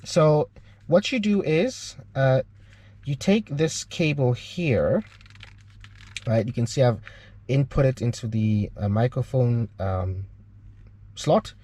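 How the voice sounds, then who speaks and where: A man's voice: medium pitch, expressive, takes it slowly, long pauses, dark tone, husky. A man with a South African accent and a mid-range voice hosts an informal how-to. He explains equipment step by step in a hesitant, explanatory manner, feeling his way through the wording. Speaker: aviation channel host. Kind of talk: informal spoken tutorial, demonstrating gear.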